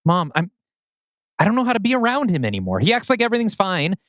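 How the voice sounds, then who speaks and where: A man's voice: high-pitched, wildly swooping pitch, quick pace, a bit bright, no echo, studio quality, full character voice. A man playing a guarded, tense stay-at-home father in a script reading. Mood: anguished.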